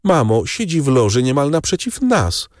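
A man voicing gossiping ladies and gentlemen at the opera in a raised, animated voice. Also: mid-range voice, moderate pace, no echo, clean studio sound, voice pushed up, close to an everyday voice. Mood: chatty.

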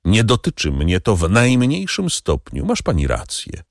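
A man's voice: fairly low pitch, huge pitch swings, measured pace, no room sound, studio quality, voice pushed up, heavily acted. A man voicing a coldly ironic, vengeful middle-aged nobleman.

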